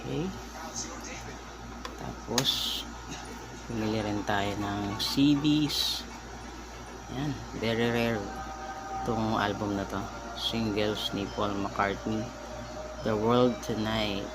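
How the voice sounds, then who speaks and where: A Filipino man giving a casual show-and-tell as a vlogger, speaking in short halting phrases and mixing in English. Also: lowish voice, fairly even intonation, loud music behind the voice. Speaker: vlogger, record collector. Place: at home. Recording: very noisy home recording.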